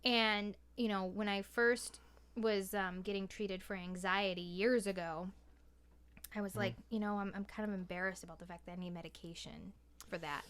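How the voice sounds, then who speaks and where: Candid woman chatting, medium pitch. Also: fairly even intonation, normal speed, fairly bright tone, smooth. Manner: candid, emphatic, swearing freely. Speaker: podcast guest. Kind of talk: casual podcast chat.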